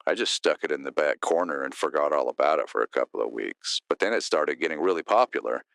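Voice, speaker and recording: A man's voice: a bass voice, lively intonation, fast, vocal fry, a bit bright, no room sound, studio quality, heavily acted. A man playing a plain-spoken, regretful arcade owner being interviewed in a radio drama. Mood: matter-of-fact.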